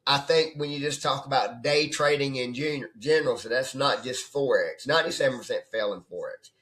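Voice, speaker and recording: A hoarse man who has nearly lost his voice, talking as a trading coach in a rambling, conversational way and often checking in with "right?". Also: mid-range voice, brisk, fairly bright tone. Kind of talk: casual off-the-cuff coaching talk. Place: at his computer desk.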